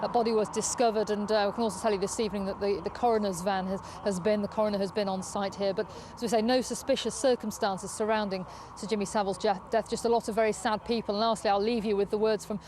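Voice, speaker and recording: A woman news correspondent giving a measured live report outdoors, pausing now and then as she improvises. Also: medium pitch, fairly even intonation, darkish tone. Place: outdoors on a residential street. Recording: outdoor street ambience with traffic noise.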